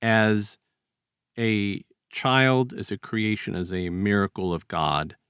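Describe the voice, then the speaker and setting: A man in a casual, reflective podcast discussion, speaking haltingly and repeating words as he builds a point. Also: lowish voice, lively intonation, a bit bright, clean tone, no echo, studio quality.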